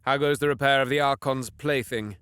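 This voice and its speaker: A man's voice: mid-range voice, steady tone, brisk, a bit bright, clear, resonant tone, no room sound, soft background music, lightly acted. A man playing a bitter, scheming young alien prince in an audio drama, with theatrical, disdainful delivery that savors cruelty. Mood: brooding, disdainful. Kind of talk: dramatic first-person narration and dialogue, audio drama.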